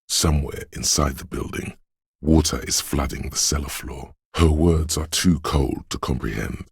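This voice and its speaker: An actor playing the solemn, brooding spirit voice of a city, narrating a video game in a husky, poetic, ominous way. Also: male voice, no echo, studio quality, heavily acted. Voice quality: very deep, gravelly, husky.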